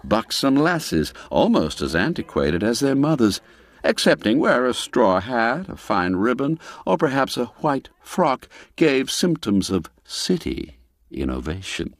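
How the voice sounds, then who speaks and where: A man's voice: mid-range voice, sing-song, unhurried, dry recording. A man narrating a classic literary tale in a theatrical, savoring, ornate storytelling style. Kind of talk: scripted literary audiobook narration. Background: background music.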